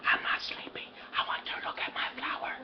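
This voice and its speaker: A man's voice: extremely high pitch, big pitch swings, measured pace, dull audio, very noisy, pitched above the performer's own voice, exaggerated voice. A man doing a whispering sick little girl. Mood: pleading.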